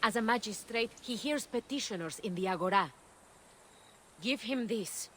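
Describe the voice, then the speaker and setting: A woman's voice: on the high side, lively intonation, normal speed, a bit bright, a little hiss or hum. An actress playing a caring young woman who speaks earnestly and reassuringly.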